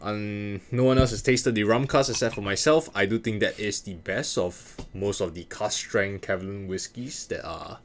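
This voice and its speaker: A man's voice: on the low side, moderate pace, hardly any pauses. A man hosting a casual talk podcast, giving verdicts in wordy, mock-formal phrasing. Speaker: podcast host. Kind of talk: casual chat with review-style verdicts.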